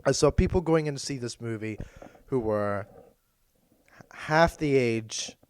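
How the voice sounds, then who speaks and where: A man with a hoarse, worn voice from being ill chats loosely as a podcast co-host, drifting and correcting himself as he goes. His pitch sits in an ordinary middle range. The sound is dry and close, with no room echo. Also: measured pace. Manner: meandering, hesitant, self-correcting. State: hoarse, losing his voice from illness. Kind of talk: casual chat.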